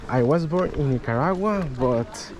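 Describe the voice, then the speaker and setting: A man's voice: a little high, lively intonation, normal speed. A man from Costa Rica chatting casually, giving short replies and laughing easily. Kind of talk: casual chat. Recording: crowd noise.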